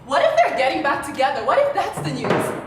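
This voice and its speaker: Echoey, high-voiced actress as ambitious daughter. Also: female voice, wildly swooping pitch, a little fast. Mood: confident, teasing. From Tanzania. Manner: sardonic sibling banter, projected theatrical delivery. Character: ambitious, teasing adult daughter of a wealthy businessman. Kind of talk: stage play dialogue. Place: theatre stage, picked up from a distance. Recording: echoey theatre, distant mic, faint noise.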